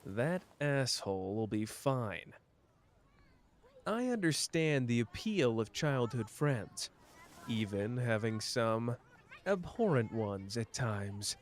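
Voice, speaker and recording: A man's voice: mid-range voice, very animated. A young man voicing a clever, protective young man in an ASMR roleplay, talking in a dry, teasing way close to the listener. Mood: wry. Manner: dry, teasing, quick-witted, close and intimate.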